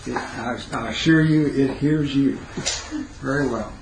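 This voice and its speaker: A man with a raspy, hoarse voice teaching a Bible class, pausing to quiz his students. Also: medium pitch. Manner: halting, questioning teacher who pauses to quiz the class. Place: classroom, indoors. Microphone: recorder set near the speaker. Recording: roomy classroom recording, faint noise.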